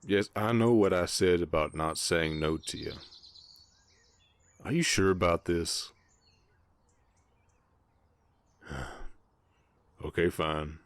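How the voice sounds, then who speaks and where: Man voicing a very deep-voiced, bashful Southern orc. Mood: hesitant. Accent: American Southern. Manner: bashful, halting, softly protective. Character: gentle, shy, gruff male orc ranch hand. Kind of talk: romantic ASMR audio roleplay, western.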